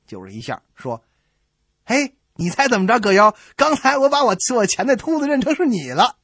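A man hosting a comedy radio show, telling a scripted joke in an animated way and acting out the dialogue. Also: very high voice, brisk, husky, dry recording, clean studio sound. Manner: animated, acts out quoted dialogue. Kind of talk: scripted comic storytelling, joke banter.

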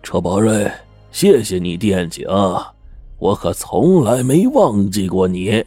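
A man voicing a bitter old villager who speaks slowly, coldly and mockingly, word by word. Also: mid-range voice, sing-song, warm tone, breathy edge, no echo, faint music behind. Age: older. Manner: slow, mocking, deliberate.

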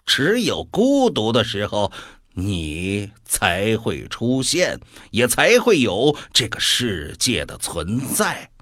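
An actor playing a sardonic, world-weary devil in an audio drama, musing deliberately with sighs. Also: male voice, lowish voice, huge pitch swings, a bit bright, no room sound, studio quality, heavily acted. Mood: musing, menacing.

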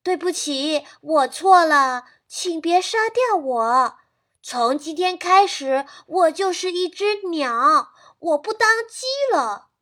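A woman's voice: very high-pitched, expressive, takes it slowly, fairly bright tone, voice pushed up, heavily acted. A woman voicing a frightened pet rooster who clucks, chirps and barks, and pleads for his life. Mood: pleading.

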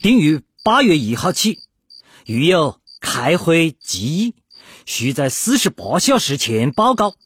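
An earnest revolutionary delegate in a radio play. The voice is very husky and a little high, with lively, declamatory swings and a serious, deliberate manner. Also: male voice, no echo, clean studio sound, exaggerated voice. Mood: grave, alert. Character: earnest, concerned 1920s revolutionary delegate at secret meeting. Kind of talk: Chinese radio drama acting.

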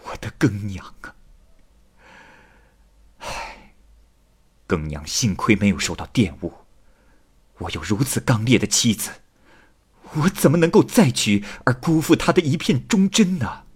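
A man's voice: mid-range voice, animated, moderate pace, slightly rough. A man voicing a loyal, earnest husband in a classical tale, with emotional declamation. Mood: anguished devotion.

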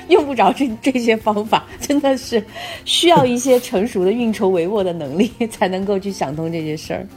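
A woman's voice: mid-range voice, lively intonation, normal speed, darkish tone. A woman co-host speaking in a casual, conversational style. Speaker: talk show co-host, relaying listener questions. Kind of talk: casual chat.

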